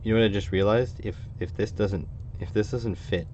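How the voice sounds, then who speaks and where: A man's voice: on the low side, brisk, darkish tone. A man with a Canadian accent chatting casually on a live stream, rambling and thinking aloud as he works on a hobby project. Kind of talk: casual live stream chat while working. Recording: dull, slightly lo-fi with faint noise.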